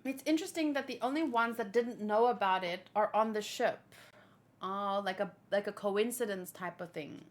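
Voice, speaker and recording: A woman hosting a casual read-along, reading comic dialogue aloud and chatting as an animated fan. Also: medium pitch, normal speed. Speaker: host reacting to a manga chapter read-along.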